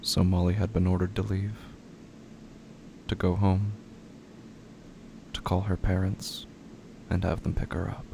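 Very gravelly, low-voiced male narrator. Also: little pitch movement, a little slow, pauses often, background noise. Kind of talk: scripted horror narration.

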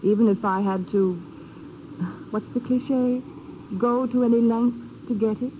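A woman's voice: a little high, steady tone, a little slow, natural voice. A woman playing a cool, teasing, self-assured reporter in a 1950s radio drama. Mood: sly, teasing. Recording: old radio broadcast, faint noise.

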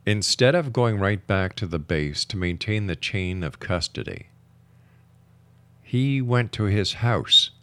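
A man hosts an interview in a slightly low voice with lively swings in pitch. He takes his time and presses his questions in a skeptical, challenging way. The sound is dry and close. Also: dry recording. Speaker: interview host.

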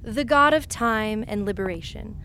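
An actress playing a haughty, cruel royal villainess in a sci-fi audio drama, pompous and deliberate. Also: female voice, medium pitch, pushed and bright, slight background noise, lightly acted. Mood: grandly preaching.

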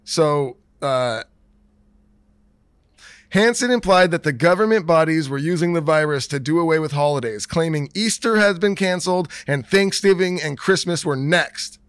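A man's voice: fairly high pitch, smooth, no room sound, studio quality. A man co-hosting a casual talk show who is losing his voice, hesitantly reading out a news article. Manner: hesitant, reads an article slowly. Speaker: podcast co-host.

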